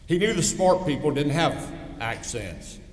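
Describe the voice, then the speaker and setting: A man's voice: on the high side, very animated, a little slow. A man in his sixties with a pronounced East Texas accent gives a commencement speech, telling personal anecdotes in a folksy, wry storytelling manner. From East Texas, United States. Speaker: commencement speaker. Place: large hall, speaking at a ceremony. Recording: echoey hall with long reverb.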